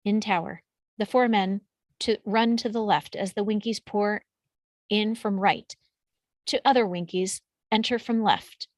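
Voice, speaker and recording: Woman narrator, medium pitch, scripted stage directions, close dry recording. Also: fairly even intonation, measured pace, dry recording.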